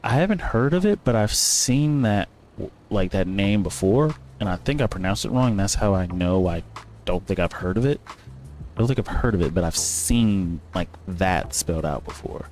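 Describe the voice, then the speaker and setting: Gaming streamer, male, lowish voice; loose thinking-aloud commentary over faint music. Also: animated, moderate pace, a little mellow. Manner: rambling, thinking aloud, self-correcting. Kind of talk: casual gameplay commentary.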